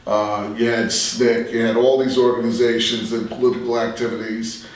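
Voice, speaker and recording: An American man in his sixties speaking as a veteran radio journalist in a reflective interview, measured and halting with emphatic asides. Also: mid-range voice, little pitch movement, recorded from a distance. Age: 60s. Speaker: veteran radio journalist and talk show guest. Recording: very echoey room, off the mic.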